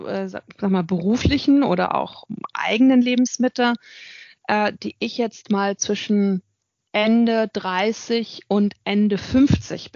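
A woman's voice: medium pitch, darkish tone, no echo, lo-fi sound. A middle-aged woman, an author and consultant, giving a thoughtful interview with frequent hesitations. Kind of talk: reflective interview talk.

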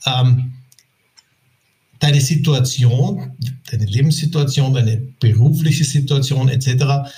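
Man asking measured interview questions. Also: mid-range voice, mellow.

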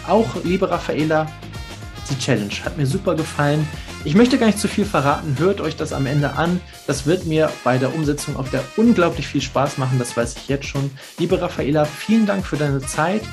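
A man hosting a podcast interview, talking in an enthusiastic, chatty way. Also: a little high. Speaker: podcast host.

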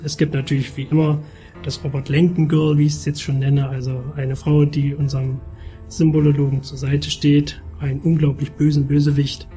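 A man's voice: on the high side, flat delivery, normal speed, mellow, soft background music. A man hosting a show and giving a casual, colloquial, conversational review. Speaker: host and book reviewer.

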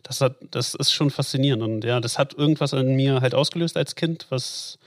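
A man's voice: medium pitch, brisk, no echo, studio quality. A man coaching an esports football team, answering interview questions at length with frequent fillers. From Germany. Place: Ruhr area.

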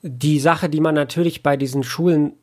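A man's voice: fairly high pitch, steady tone, moderate pace. A man talking casually as an interview guest, explaining his audio engineering work in a rambling, colloquial way and often rephrasing himself.

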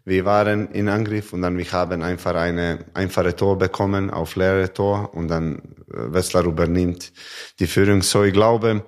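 Very deep-voiced man in halting German. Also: fairly even intonation. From Montenegro. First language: not German. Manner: halting non-native German with many fillers. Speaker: handball player. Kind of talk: podcast interview.

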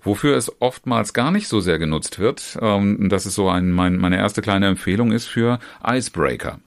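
A man with a very low voice teaches other trainers in an informal talk, addressing the listener directly. His sentences run long and chained together. He often restarts a phrase or fills a pause with a short hesitation sound. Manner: speaks in long chained clauses with frequent restarts and fillers. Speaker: trainer and trainer educator. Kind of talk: informal instructional talk on online seminars.

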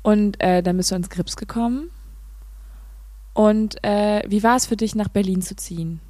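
A woman's voice: medium pitch, moderate pace, mellow, no room sound. Woman hosting, close dry sound. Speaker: podcast host. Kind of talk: casual interview chat.

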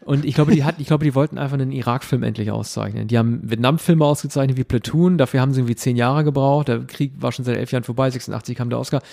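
A man co-hosting a podcast, chatting casually and explaining things at length in a relaxed way. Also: mid-range voice, rapid-fire, vocal fry, hardly any pauses. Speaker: podcast co-host. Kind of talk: casual chat.

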